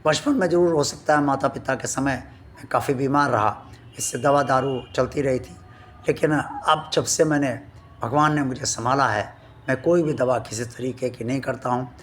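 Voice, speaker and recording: A man hosting a devotional channel, speaking to his viewers slowly and earnestly, his voice still weak from a sore throat. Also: medium pitch, fairly bright tone, a little hiss or hum. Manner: slow, earnest and devout, addressing viewers formally. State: recovering from a sore throat, voice not at full strength.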